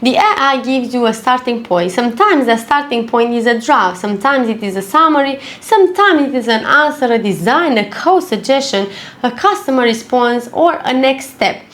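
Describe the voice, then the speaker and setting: A woman narrating a scripted product review in a measured, clearly structured delivery. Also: on the high side, animated, a bit bright.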